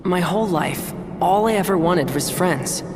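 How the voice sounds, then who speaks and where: A man playing an insecure, wounded young man in a game monologue, speaking in a halting, earnest way. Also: high voice, lively intonation. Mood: sad, fearful. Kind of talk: video game cutscene monologue. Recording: loud music over the voice.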